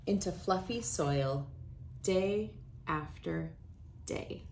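A woman in her thirties reading a children's storybook aloud with expressive, deliberate delivery. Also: fairly low pitch, creaky, slight background noise. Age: 30s. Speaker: children's book reader. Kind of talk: read-aloud storybook narration. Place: small wood-panelled room indoors.

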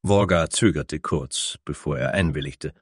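A man's voice: extremely low pitch, a little slow, slightly rough, no room sound, clean studio sound. A man reading scripted narration for a fantasy story as its narrator.

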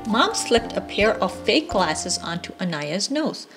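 Woman, thirties, children's read-aloud, expressive, animated pitch, clear music in background. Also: measured pace. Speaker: storybook reader, parent.

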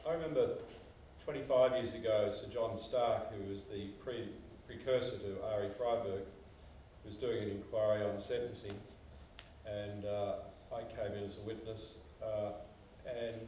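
A man's voice: on the low side, fairly even intonation, unhurried, mellow, slight background noise. An older Australian man speaking as a panelist, telling stories emphatically in a panel discussion. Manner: emphatic storyteller, gestures while talking. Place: small meeting room. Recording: echoey room from far off.

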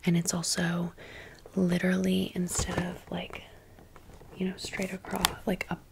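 An American woman hosting an ASMR storytime in a breathy whisper, with a casual, sassy, slangy way of talking. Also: fairly low pitch, completely flat delivery, unhurried, noisy background. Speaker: ASMR video host.

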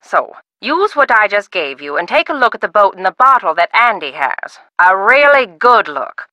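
Brief: sly older widow, game character, bright lively delivery, dry close sound. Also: female voice, mid-range voice, normal speed, dry recording. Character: eccentric widowed older woman, secretive. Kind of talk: video game voice acting.

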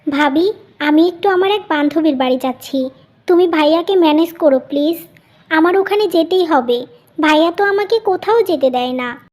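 A woman acts the youngest sister in a cartoon family tale. She sounds high-pitched, young, sweet and obliging. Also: normal speed, a little mellow. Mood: pleading. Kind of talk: Bengali cartoon story voice acting.

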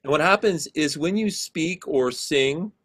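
A middle-aged man with a low voice teaching an online class over a video call, explaining casually with many fillers. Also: measured pace, fairly bright tone.

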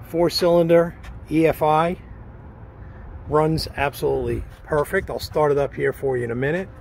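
A man's voice: on the high side, normal speed, creaky, darkish tone. A man presenting a vehicle for sale in a walkaround video, matter-of-fact, speaking in short, list-like phrases. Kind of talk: walkaround sales video commentary. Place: outdoors in an open parking lot. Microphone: handheld camera or phone, speaker off camera. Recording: outdoor noise, handheld camera audio.

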